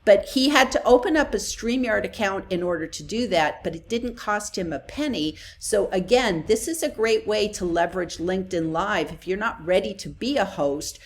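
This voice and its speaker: A woman's voice: mid-range voice, animated, moderate pace, few pauses. A woman co-hosting a live online talk show, explaining practical tips in a casual, conversational way. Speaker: co-host. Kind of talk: casual chat.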